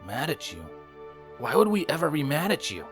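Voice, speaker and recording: A man's voice: fairly high pitch, sing-song, normal speed, fairly bright tone, pitched above the performer's own voice. Man as a protective, devoted big-brother unicorn stallion. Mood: reassuring. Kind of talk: audiobook character voice in fan fiction. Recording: music playing behind the voice.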